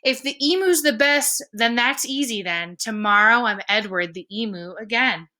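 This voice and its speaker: A woman's voice: fairly high pitch, expressive, moderate pace, sharp tone. A woman voicing an eager, boastful male emu from a children's storybook, exclamatory and sure of himself. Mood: decided.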